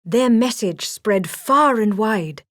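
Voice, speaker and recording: A woman's voice: on the high side, expressive, takes it slowly, dry recording, clean studio sound. A woman reading a scripted children's story as a storybook narrator.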